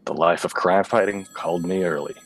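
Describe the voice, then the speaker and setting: An actor playing a bitter, boozy, clueless android-hunting detective in a noir radio play, with a hard-boiled, melodramatic deadpan. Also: male voice, deep voice, fairly quick. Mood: clueless, earnest.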